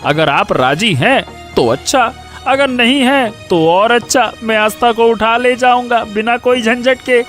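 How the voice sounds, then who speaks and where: A man playing a spoiled, menacing young bully from a rich family in a story, taunting with drawn-out words. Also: very high voice, fairly bright tone, soft background music. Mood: menacing, smug. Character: menacing, mocking young village bully, rich merchant's son. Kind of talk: Hindi audio story dramatic acting.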